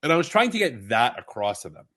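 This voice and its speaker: A man from Long Island, co-hosting a podcast in rambling, animated casual chat. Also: mid-range voice, fast talker. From Long Island, New York. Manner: rambling, animated storytelling with fillers and asides. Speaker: podcast co-host.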